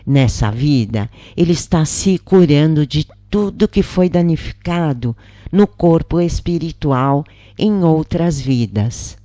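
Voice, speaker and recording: A woman reads a channeled spirit's story in a calm, reverent tone, taking her time over every phrase. Her voice is soft and mellow, recorded close and dry with no room sound. Her pitch sits in the middle for a woman. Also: takes it slowly, dry recording. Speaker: reader of channeled spirit messages. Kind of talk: slow devotional first-person reading.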